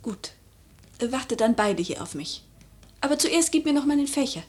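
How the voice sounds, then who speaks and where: Husky, high-voiced noble widow. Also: female voice, lively intonation, moderate pace. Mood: imperious, restless. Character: wistful, proud young noble widow, imperious with servants. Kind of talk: German literary radio play acting.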